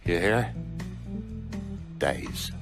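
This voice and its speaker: An actor playing a bitter, foul-mouthed older Irish mobster in a video game, crude and swaggering, with an Irish accent. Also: male voice, drawn-out words, music in the background. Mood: scornful, vengeful. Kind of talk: dramatic video game cutscene acting.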